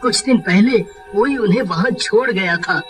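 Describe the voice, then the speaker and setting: An actor playing a timid, earnest male engineer in an animated horror story, with a stammering, dramatic manner. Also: high-pitched, a little slow, over music.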